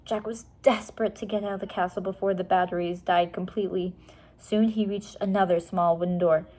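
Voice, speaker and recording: A woman's voice: mid-range voice, fairly even intonation, a little fast, a little mellow. A woman reading a children's storybook aloud expressively, explaining things simply and casually to young listeners.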